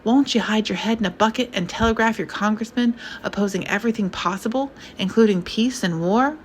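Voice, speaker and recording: A woman voicing a pompous, alarmist older conservative gentleman who grumbles and fusses indignantly. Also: medium pitch, fairly quick, slight background noise, lightly acted. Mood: alarmed.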